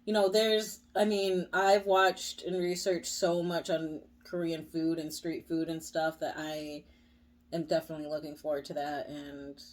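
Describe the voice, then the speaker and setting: A woman's voice: low voice, flat delivery, a little slow. A young woman vlogger chatting casually, full of "like" and "you know". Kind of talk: casual chat.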